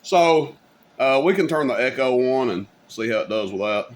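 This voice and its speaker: A man's voice: medium pitch, lively intonation, moderate pace. A man giving a casual, folksy, rambling hands-on demonstration as a hobbyist video host. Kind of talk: casual hands-on product demo. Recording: clear background noise.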